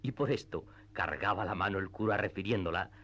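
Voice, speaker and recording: A man narrating a classic literary radio play in a measured, storytelling manner. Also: sits low, fairly bright tone, highs cut off. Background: music.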